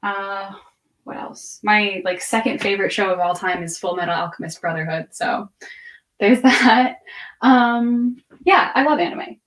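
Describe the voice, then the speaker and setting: A woman in her twenties chatting casually on a livestream, rambling and half-distracted as she thinks aloud and answers viewers' questions. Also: mid-range voice. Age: 20s. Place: room at home with bookshelves.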